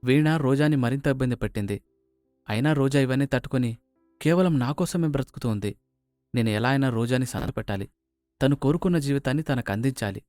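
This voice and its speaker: A man's voice: mid-range voice, brisk, darkish tone, no room sound, clean studio sound, faint music behind, lightly acted. A man voicing an earnest, remorseful young husband in a family moral story.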